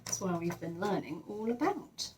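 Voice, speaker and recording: Animated woman reading to children. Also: mid-range voice, moderate pace, slight background noise. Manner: expressive, with gasps and asides to children. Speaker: teacher reading to young children. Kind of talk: animated storybook read-aloud.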